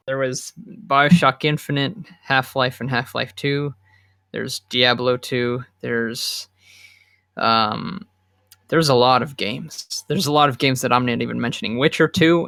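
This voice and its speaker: A young man co-hosting a casual gaming podcast, measured and opinionated, pausing now and then to think. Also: medium pitch, fairly even intonation, vocal fry, fairly bright tone, no echo, studio quality. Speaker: podcast co-host.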